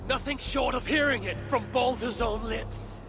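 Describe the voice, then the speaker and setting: An actor playing a proud, obsessive warrior god in a game cutscene, speaking in a solemn, stubborn, declamatory way with a gravelly voice. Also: male voice, very high voice, normal speed, music in the background. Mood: defiant, demanding. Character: proud, obsessive warrior god seeking his lost son. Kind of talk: dramatic video game cutscene acting. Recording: loud background noise of game ambience.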